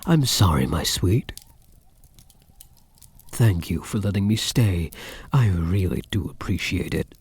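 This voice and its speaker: A man playing a possessive yet tender vampire count in a soft-spoken ASMR roleplay, murmuring intimately and often dropping into whispers. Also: sits low, animated, slow pace, long pauses, husky, dry recording, exaggerated voice. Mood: wistful, vulnerable.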